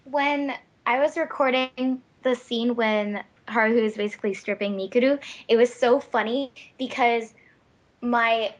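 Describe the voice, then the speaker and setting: A woman's voice: a little high, steady tone, unhurried. A young woman, a voice actor, casually telling anecdotes on a commentary track. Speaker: fandub voice actor.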